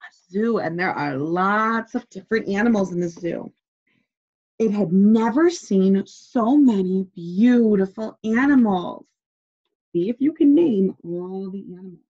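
A woman's voice: mid-range voice, lively intonation, a little slow, a little mellow, slightly rough. A young woman teacher reading a picture book aloud to children, expressively and prompting them with questions. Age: young adult. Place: small bedroom indoors. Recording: dull lo-fi webcam sound.

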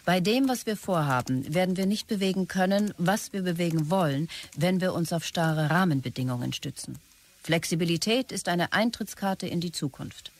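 Demanding office boss, curt and commanding, radio play delivery. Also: female voice, lowish voice, expressive. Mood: insistent, demanding. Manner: brisk, commanding, clipped. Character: bossy, demanding company boss issuing curt orders to staff. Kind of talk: radio drama acting.

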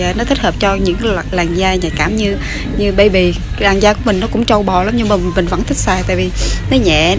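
A woman in her thirties chatting casually as she walks a pharmacy aisle, talking into a phone camera held close and filling pauses with hesitations and restarts. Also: mid-range voice. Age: 30s. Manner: chatty, many fillers and restarts. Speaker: shopping vlogger. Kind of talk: casual product walkthrough. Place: large pharmacy store, indoors.

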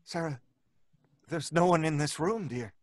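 An actor playing a devoted, distraught husband, earnest and pleading, in old-time radio horror style. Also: male voice, a little high, measured pace, no echo, close to an everyday voice. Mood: stunned, sorrowful. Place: foam-lined recording booth. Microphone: studio microphone, close.

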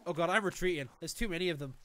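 A young Welsh man with a Welsh accent, streaming a survival game from a small room at home on a headset mic, excitable and joking as he talks to the game and to chat. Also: a little high, racing. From Wales. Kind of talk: live gameplay commentary.

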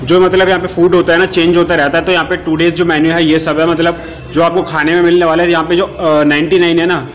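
A man's voice: on the high side, little pitch movement, fast, slight background noise. A young man giving a casual, chatty food vlog tour of a small restaurant, filming on a phone held at arm's length. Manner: chatty, addresses viewers as brother. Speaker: food vlogger. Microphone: handheld phone at arm's length.

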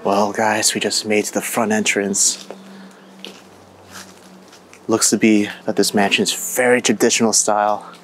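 A young man vlogging casually as he walks through an abandoned mansion, chatty and excitable. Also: on the low side, unhurried, long pauses, soft background music. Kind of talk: casual walkthrough vlog. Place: indoors in an abandoned house.

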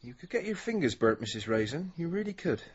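An earnest policeman in a radio play. His voice is fairly high for a man and a bit rough, with lively, cautioning delivery. The sound is slightly muffled. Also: quick pace. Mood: stern warning. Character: earnest, exasperated male police officer. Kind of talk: British radio drama acting.

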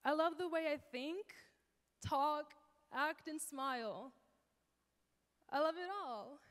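A woman's voice: high voice, slow, a bit bright, long reverb tail. A teenage girl reciting a spoken word piece in an earnest, rhythmic way on a church stage into a handheld mic. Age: teens. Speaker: teen performer. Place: church stage, large hall.

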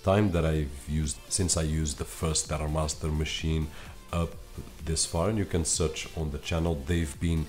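Deep-voiced Portuguese-speaking man reviewing tech. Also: steady tone, a little slow, a little mellow, faint music behind. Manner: chatty, talks straight to viewers with frequent fillers. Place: home office with computer desk.

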